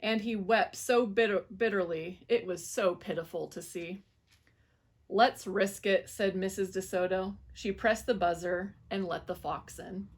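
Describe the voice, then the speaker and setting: A woman reading a picture book aloud to children, in an expressive, animated storytelling manner. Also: medium pitch, normal speed, hardly any pauses, husky.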